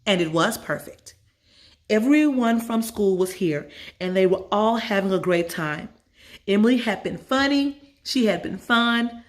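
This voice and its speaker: A woman's voice: mid-range voice, expressive, a little slow. A woman storyteller narrating a children's story from a script.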